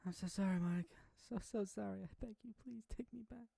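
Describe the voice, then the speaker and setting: Tearful high teen girl, pleading and sobbing, read by a man. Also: sing-song, fast talker, dark tone, dry recording, lightly acted. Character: weepy lovesick teen girl Sayori.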